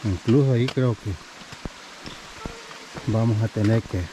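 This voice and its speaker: A man's voice: lowish voice, steady tone, unhurried, noisy background. A man in his thirties filming a family hiking vlog, chatting to viewers as he walks and climbs a wooded trail, a little out of breath. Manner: chatty, addresses viewers as "mi gente". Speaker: family vlogger. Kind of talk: casual hiking vlog narration. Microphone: action camera held at arm's length.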